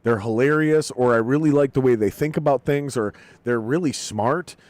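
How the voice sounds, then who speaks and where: A man hosting a casual podcast at a steady middle pitch, heavy with profanity and self-mocking humor. His voice is close and dry on the mic, with no room around it. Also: moderate pace, dry recording. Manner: profane, animated, self-deprecating jokes. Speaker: podcast host.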